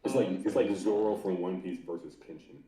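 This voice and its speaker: A man's voice: deep voice, fairly quick, crowd noise. A man hosting a podcast in casual, slangy chat, often saying bro. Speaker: podcast host. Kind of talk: casual chat about anime. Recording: roomy echo with background noise.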